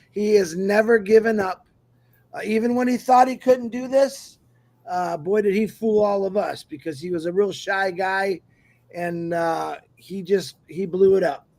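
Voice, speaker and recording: A man co-hosting a live stream, introducing a guest in a warm, praising, upbeat way. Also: high-pitched. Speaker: co-host.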